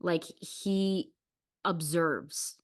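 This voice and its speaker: A woman's voice: lowish voice, fairly even intonation, very slow. A woman hosting a podcast, chatting casually in an effusive, informal way. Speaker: podcast host.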